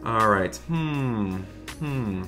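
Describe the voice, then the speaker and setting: A man's voice: fairly low pitch, animated, drawn-out words, music in the background. A man playing a cheerful, thrifty father in an improvised video game roleplay, chatty and folksy. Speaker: gaming video host.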